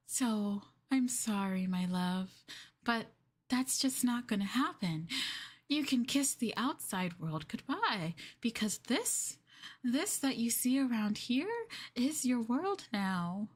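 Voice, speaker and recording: A woman acts out a possessive yandere girlfriend in an ASMR roleplay. She speaks very slowly in a highish voice, cooing and coaxing the listener with sweet menace. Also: animated. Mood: possessive, menacing. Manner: cooing, coaxing, breaking into giggles and whispers.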